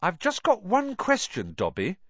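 A man's voice: sits high, wildly swooping pitch, unhurried, dry recording, lo-fi sound, voice pushed up, heavily acted. A man voicing a plucky, good-natured schoolboy hero in an audiobook, plain-spoken and modest. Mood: puzzled, mild.

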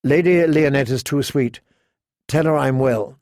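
A man voicing a polite, sad young noblewoman in an audiobook, in a soft, restrained manner. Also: mid-range voice, unhurried, no echo, clean studio sound, close to an everyday voice. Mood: guarded. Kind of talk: audiobook character voice.

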